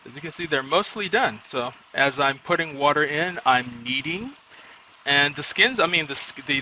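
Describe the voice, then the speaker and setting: A man's voice: on the high side, bright, forceful tone, slight background noise, poor audio. A young man from Nigeria, chatting casually as he cooks in his home kitchen and explains each step. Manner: chatty, explains while working. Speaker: home cook. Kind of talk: casual cooking video.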